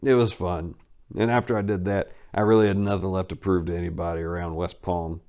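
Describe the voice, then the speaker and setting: A low-voiced man reads his own life story aloud in the first person. He is blunt and wry, and now and then he jumps up in pitch and volume to punch a line. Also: fairly even intonation, a little fast, a little mellow. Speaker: narrator reading a memoir aloud. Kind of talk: first-person dramatic reading.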